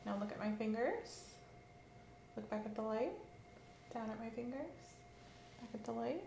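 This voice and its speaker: A woman doing an ASMR role-play as a medical examiner, giving slow, gentle instructions that sometimes drop to a whisper. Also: a little high, expressive, creaky, roomy sound, a little hiss or hum. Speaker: ASMR creator playing an examiner.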